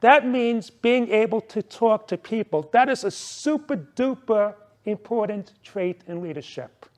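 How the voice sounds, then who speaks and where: A man in his forties giving a prepared motivational club speech, deliberate and emphatic, with rhetorical questions and repetition. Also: sits high, animated, darkish tone. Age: 40s.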